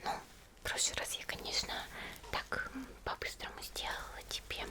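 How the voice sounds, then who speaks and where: A woman playing a friendly teenage monster girl in an ASMR roleplay, murmuring gently in a soft, breathy whisper. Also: close to an everyday voice. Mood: gentle, attentive. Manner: gentle, unhurried, murmuring to the listener. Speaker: ASMR video creator.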